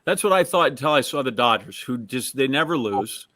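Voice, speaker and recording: American guy hosting sports chat, mid pitch, close dry sound, tells little stories and opinions. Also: expressive, fairly quick, bright, forceful tone, dry recording. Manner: anecdotal, opinionated. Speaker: sports talk host, interviewer.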